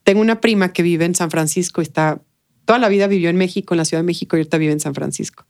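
A woman with a Mexican Spanish accent talking casually as an interview guest, expressive and colloquial, often checking in with little tag questions. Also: fairly low pitch, very fast.

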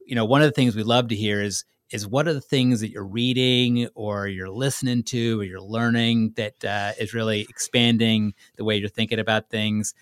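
A man's voice: lowish voice, fairly even intonation, normal speed, hardly any pauses, clear, resonant tone, dry recording, studio quality. A man hosting a conversational interview, eager and affirming as he steers the discussion and puts questions to his guests. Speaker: podcast host.

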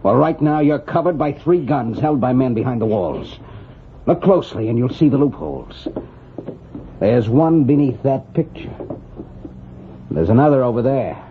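A dull old radio recording with faint noise carries a vengeful gambling-house boss played by a man. His lowish voice swings widely in pitch, smug and menacing, with the excitable relish of a western villain. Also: normal speed, long pauses, natural voice. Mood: gloating menace.

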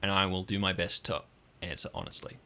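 A man's voice: low voice, flat delivery, fairly quick, bright, forceful tone, clear, resonant tone, pitched below the performer's own voice. A man voicing an abrupt, authoritative female park ranger chief in an improvised audio drama. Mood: guarded, conceding.

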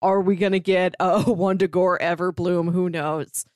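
Female co-host, slightly low pitch, casual book talk, chatty delivery, dry recording. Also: fairly even intonation, normal speed, a little mellow, no echo. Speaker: podcast co-host.

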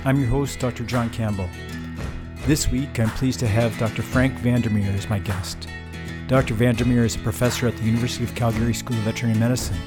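A man's voice: mid-range voice, steady tone, fast talker, music in the background. A man, a veterinarian hosting a cattle health podcast, reading a scripted intro and then asking easy, friendly questions. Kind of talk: podcast interview.

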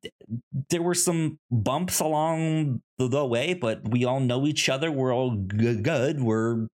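A man with a frequent stutter talking casually as a co-host, halting and rambling as he repeats sounds and words. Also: mid-range voice, a little mellow. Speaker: podcast co-host. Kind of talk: casual conversational film discussion.

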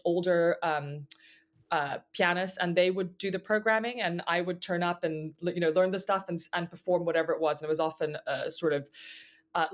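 Woman guest, casual and reflective, fills pauses, restarts sentences. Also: fairly low pitch. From Hong Kong. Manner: thoughtful, many fillers and restarts, laughs easily. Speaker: guest, mezzo-soprano. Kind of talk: casual interview chat.

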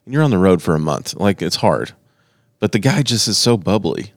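A man's voice: low-pitched, lively intonation, normal speed, no echo, clean studio sound. A man co-hosting a podcast, chatting casually and joking as he tells stories. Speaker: podcast co-host. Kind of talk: casual conversation.

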